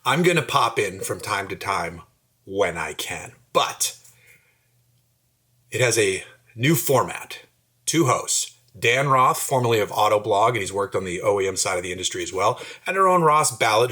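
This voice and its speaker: A man making a casual, unscripted podcast announcement in an informal, halting way. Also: lowish voice, a bit bright, slightly rough. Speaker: podcast founder introducing new hosts.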